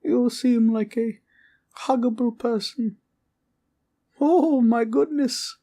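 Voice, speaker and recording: A man's voice: slow pace, warm tone, heavily acted. A man voicing an adorable, innocent little teddy bear in a comfort roleplay, with cutesy, polite, childlike chatter. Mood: sweet, affectionate. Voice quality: high-pitched, cutesy. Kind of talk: cartoonish comfort roleplay audio.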